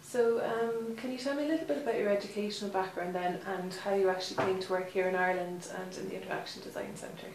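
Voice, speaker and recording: A woman's voice: mid-range voice, steady tone, fast, hardly any pauses. A woman interviewer asking brief, encouraging questions. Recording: echoey room, distant mic, noise.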